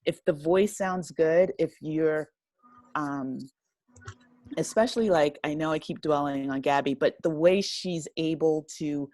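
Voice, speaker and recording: A woman's voice: low voice, a little slow, a little mellow, dry recording. A woman speaking casually as an interview guest, modest and self-deprecating, laughing easily. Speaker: interview guest, audio drama writer and director.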